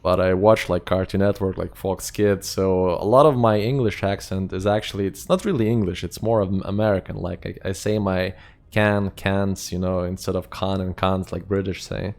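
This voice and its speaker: Chatty Lithuanian-accented man, low voice. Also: fairly even intonation, moderate pace, a little mellow. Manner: rambling, filler-heavy, chatty. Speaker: game streamer. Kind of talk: casual live-stream chat.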